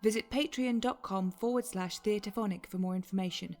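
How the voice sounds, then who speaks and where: Woman doing a scripted podcast support plug, medium pitch. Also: fairly quick, darkish tone. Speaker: podcast announcer. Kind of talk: scripted promotional read.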